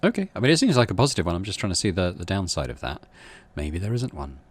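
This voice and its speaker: Low-voiced man with lively intonation, gaming. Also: fast, a little hiss or hum. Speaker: video game streamer. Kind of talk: let's play narration and casual commentary.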